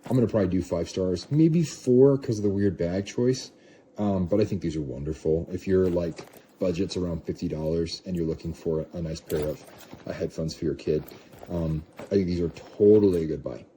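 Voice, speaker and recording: A man's voice: deep voice, a little fast, mellow, a little hiss or hum. A man giving a casual, off-the-cuff product review, rambling and second-guessing himself as he goes. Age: adult parent of a young child. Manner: rambling, self-questioning, hedging.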